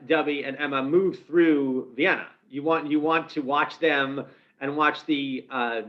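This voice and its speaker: A man interviewing an author, asking polite questions with many soft fillers. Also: medium pitch. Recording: dull lo-fi audio.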